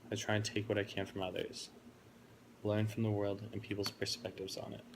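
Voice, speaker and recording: A young man in his twenties delivers a prepared speech about himself, earnest and reflective. His voice sits deep and gravelly with a narrow, even melody. A faint hiss runs underneath. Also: fairly quick. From Florida, United States. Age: 20s. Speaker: college student giving a speech.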